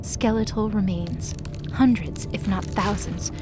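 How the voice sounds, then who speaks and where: A woman's voice: mid-range voice, unhurried, over music. A woman giving dramatic scripted narration for a mythology audio story.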